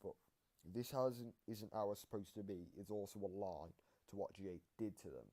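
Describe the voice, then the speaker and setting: A man's voice: fairly low pitch, moderate pace, dark tone. A man giving casual commentary on game voice lines, explaining his reading of them in a matter-of-fact way.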